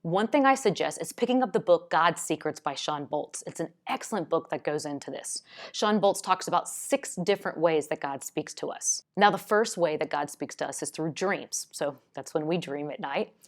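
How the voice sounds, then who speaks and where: A woman speaking in a somewhat low register, loud and outspoken by nature. She delivers an earnest, encouraging faith lesson straight to the viewer, teaching step by step. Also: expressive, normal speed, few pauses. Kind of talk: earnest teaching talk to camera.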